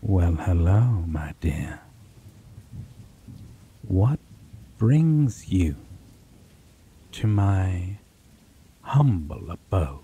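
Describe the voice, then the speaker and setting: Ancient male vampire, played by a man for ASMR roleplay: lowish, extremely slow, predatory and courtly, breathy theatrical phrasing, rain and thunder behind. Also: very animated, dark tone, slight background noise, full character voice. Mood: playful, menacing.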